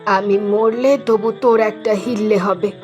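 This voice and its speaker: Woman playing slow-talking, plaintive bedridden old mother. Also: medium pitch, steady tone, highs cut off, music in the background, close to an everyday voice. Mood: self-pitying, resigned. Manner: plaintive, resigned. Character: frail, bedridden, self-pitying old mother.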